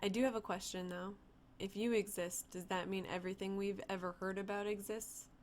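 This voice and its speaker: A woman's voice: medium pitch, flat delivery, a little fast, a little hiss or hum, lightly acted. A woman voicing a curious young man on a fishing crew who asks questions eagerly.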